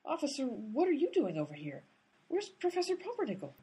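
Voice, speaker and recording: An actress in a radio comedy playing an exasperated female amateur sleuth who talks in a brisk, bossy way. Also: high-pitched, wildly swooping pitch, dark tone. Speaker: lead character, amateur sleuth. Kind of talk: comic radio play acting.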